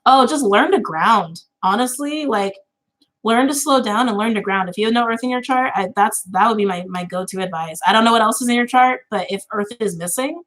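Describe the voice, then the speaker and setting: A woman's voice: a little high, fairly quick, fairly bright tone. A woman in her twenties chatting casually with viewers on a livestream, full of fillers and easy laughter. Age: 20s. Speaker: tarot channel host.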